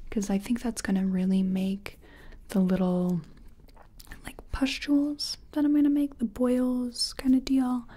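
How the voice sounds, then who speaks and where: A woman performs a gentle, hesitant makeup artist for a soft-spoken ASMR roleplay. Her voice is husky with breath and mellow, often near a whisper. She takes her time, murmuring uncertainly to herself. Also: medium pitch.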